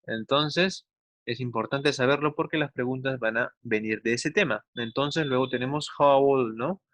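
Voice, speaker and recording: Guy teaching english to kids, medium pitch, says examples slowly, dry close sound. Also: fairly bright tone, dry recording. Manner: didactic, slowly enunciates English examples, often seeks agreement with tag questions. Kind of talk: instructional online class for children.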